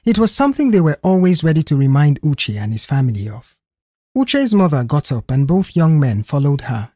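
A woman's voice: sits low, very animated, normal speed, warm tone, no echo. Woman narrating an audio story, dry sound.

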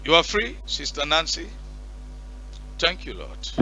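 A male pastor leading a deliverance prayer and counseling on stage through a headset mic, commanding and forceful. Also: a little high, big pitch swings, measured pace, faint music behind, low-quality recording. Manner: commanding, shouting at spirits. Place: church stage with curtain backdrop.

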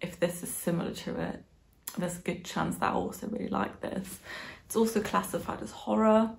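A woman's voice: medium pitch, fairly even intonation, normal speed, gravelly, rough recording. A bilingual German and English speaking woman in her twenties, chatting casually and thoughtfully about books to camera. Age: 20s. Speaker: book vlogger. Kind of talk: casual chat to camera, book review. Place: small living room, on a sofa indoors.

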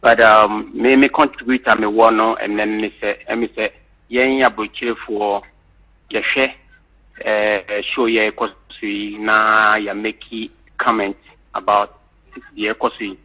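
A man's voice: lowish voice, flat delivery, a little slow. A man calling in to a radio show, talking earnestly and hesitantly with many fillers. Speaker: caller. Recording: husky tinny phone line.

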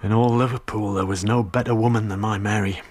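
An actor playing a remorseful, bitter working seaman in a radio drama, with a husky voice. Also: on the low side, steady tone, fairly quick, slight background noise, close to an everyday voice. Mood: remorseful confession.

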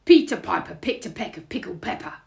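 A woman voicing a gruff but kindly male burglar from a children's storybook, with colloquial, ungrammatical speech. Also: lowish voice, animated, fairly quick, darkish tone. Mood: coaxing.

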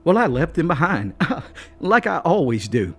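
A man's voice: medium pitch, sing-song, moderate pace, husky, faint music behind, voice pushed up. A man voicing a boastful, cocky male politician and judge who talks in a hearty mountain drawl. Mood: jovial.

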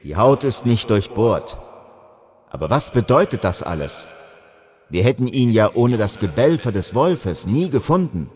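Man doing a calm army officer. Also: on the low side, measured pace, breathy edge, long reverb tail, heavily acted. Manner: calm, matter-of-fact. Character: composed male army officer, calm and steady.

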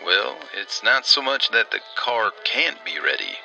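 Man playing a gravelly, guarded small-town railman. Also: mid-range voice, very animated, a little slow, sharp tone, soft background music, full character voice, poor audio. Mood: apologetic, matter-of-fact. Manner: dry, matter-of-fact. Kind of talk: old-time radio drama acting.

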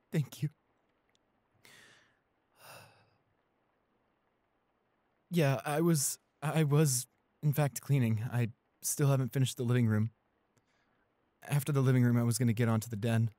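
A man acts out a shy, clumsy young boyfriend in an intimate audio roleplay. His voice is mellow and soft, recorded close and dry. He is anxious and devoted, hesitating and stammering as he speaks. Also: medium pitch, no echo, natural voice. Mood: gently reassured. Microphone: close studio condenser microphone.

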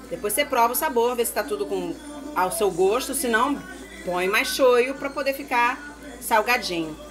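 Woman, 40s, from Brazil, home cooking vlog, chatty, talks to viewers, fairly high voice, phone close-up, sizzling pan and music behind. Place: home kitchen, indoors. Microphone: phone held close. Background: food sizzling in a pan, music.

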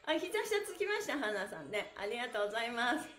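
A woman's voice: a little high, big pitch swings, quick pace, a bit bright, soft background music. A middle-aged woman leading a live-streamed stretch class, chanting counts cheerfully and chatting with viewers. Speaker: stretch instructor. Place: small indoor studio room. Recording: echoey room.

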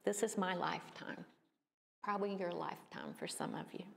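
A woman in her sixties giving an unhurried slideshow talk at a church, with thoughtful pauses and fillers. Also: on the low side, steady tone, creaky, in a large hall, a little hiss or hum. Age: 60s. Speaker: church presenter. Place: church hall.

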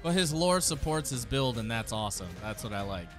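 A man in his thirties gives casual, enthusiastic reaction commentary as a streamer. His delivery is animated and slangy, on a close mic with faint music behind. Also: mid-range voice, a little fast. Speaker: streamer reacting to game trailers. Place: small home streaming room. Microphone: close desk microphone. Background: faint game trailer music.

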